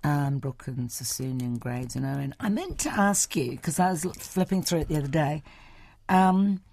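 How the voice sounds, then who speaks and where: Husky New woman interviewer. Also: low voice, animated, moderate pace, darkish tone. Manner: probing, conversational questions. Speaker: radio interviewer. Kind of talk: radio interview conversation.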